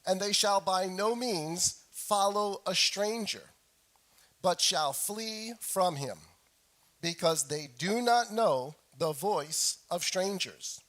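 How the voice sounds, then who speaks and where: Middle aged guy preaching at church, voice kinda high and hoarse like he's getting over a cold, repeats stuff for emphasis. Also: fairly bright tone. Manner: frequent throat clearing; deliberate, repeats phrases for emphasis. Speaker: pastor preaching to his congregation. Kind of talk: sermon with scripture reading.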